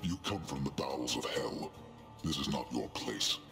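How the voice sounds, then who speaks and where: An actor playing a huge, proud, gruff bull-man warrior in a video game, gravelly and booming, speaking in terse, solemn declarations. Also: normal speed. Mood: defiant. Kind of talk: video game character voice acting. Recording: reverberant voice over game music.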